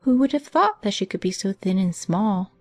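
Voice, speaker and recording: Woman as an excitable, breathless young gentlewoman, music behind. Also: mid-range voice, normal speed, close to an everyday voice. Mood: eager, awed. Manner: breathless, eager, exclaiming. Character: excitable, naive young gentlewoman, easily awed. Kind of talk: dramatized audiobook character acting.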